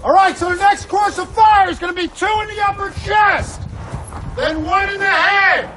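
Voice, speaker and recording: A man's voice: very high voice, steady tone, moderate pace. A man working as a firearms instructor, calling out drill instructions.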